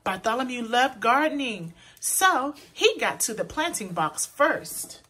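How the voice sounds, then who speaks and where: A woman reading a children's picture book aloud in an expressive, deliberate way, as a storyteller for young listeners. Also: on the high side, slightly rough. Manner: pauses to point out words to young listeners; animated, deliberate delivery for children.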